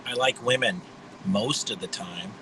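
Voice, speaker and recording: A man in his fifties with a high voice, hosting a rambling livestream monologue in a blunt, mocking way. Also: measured pace, background noise. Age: 50s. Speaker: livestream host.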